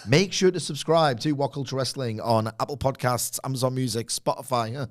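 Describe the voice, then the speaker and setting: A man co-hosting a wrestling podcast, his voice hoarse from illness, chatting casually and joking with fillers. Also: medium pitch, fairly quick. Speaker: podcast co-host.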